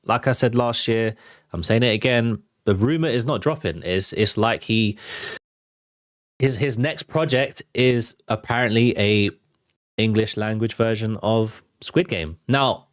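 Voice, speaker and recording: A man's voice: on the low side, unhurried, dry recording, clean studio sound. A man hosting a film and TV news show, chatting to viewers in an informal, enthusiastic, conversational way. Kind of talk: casual entertainment news commentary to viewers.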